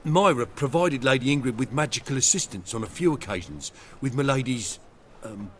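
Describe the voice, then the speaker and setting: Man acting as deferential game valet, meek, folksy phrasing, very animated intonation, middle-pitched, light background noise. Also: fairly quick, natural voice. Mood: earnest, worried. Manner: servile, folksy, dropped words. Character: meek, deferential male valet to a noble lady. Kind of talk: video game dialogue acting.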